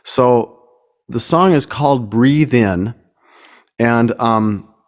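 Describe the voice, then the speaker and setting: A man with a mid-range voice talks slowly and gently, in a casual, reflective way. He is unhurried and modest about himself, like a guest songwriter introducing a song. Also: medium pitch. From United States. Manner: gentle, unhurried, self-effacing.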